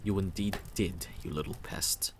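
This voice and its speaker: A man's voice: deep voice, steady tone, normal speed, over music, natural voice. A man voicing a brooding, muscular mercenary in a fantasy game, guarded, terse and dry. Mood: dryly amused.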